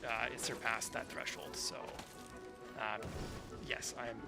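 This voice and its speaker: Male game streamer, casual, mid-pitched voice, rambling, slangy, game music clearly behind. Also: flat delivery, a little slow. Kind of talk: casual chat while playing.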